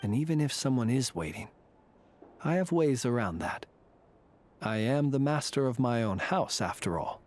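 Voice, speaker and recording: An actor playing a calm, ancient, world-weary fae warrior in a video game, with measured, formal, reflective phrasing. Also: male voice, mid-range voice, lightly acted. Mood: calm, reassuring.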